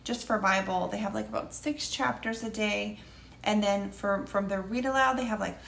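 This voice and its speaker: Creaky-voiced woman in an echoey room. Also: mid-range voice, a bit bright. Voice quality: slightly scratchy, creaky voice. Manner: conversational, meandering. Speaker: homeschooling mother reviewing a curriculum. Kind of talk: casual review vlog, unscripted. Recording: echoey room with faint background noise.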